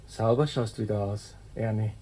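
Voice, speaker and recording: A man's voice: fairly low pitch, fairly even intonation, moderate pace, dark tone, close to an everyday voice. An actor playing an earnest young novice policeman with a Viennese accent in a satirical radio play. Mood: courting. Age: young adult. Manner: earnest, recites slogans. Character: eager, sloganeering young novice policeman with a secret.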